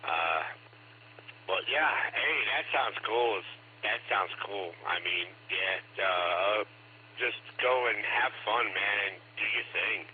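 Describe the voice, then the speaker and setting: Deep-voiced man in his fifties on radio. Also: slow pace. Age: 50s. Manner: upbeat, adventurous, filler-heavy. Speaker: ham radio operator. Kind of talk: casual radio chat. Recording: tinny two-way radio, faint hiss.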